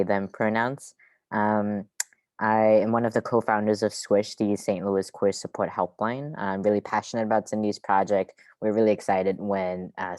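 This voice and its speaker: A speaker from Singapore introducing themselves on a group video call as a helpline co-founder, earnest and reflective, with frequent ums. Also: male voice, low-pitched, darkish tone, dry recording.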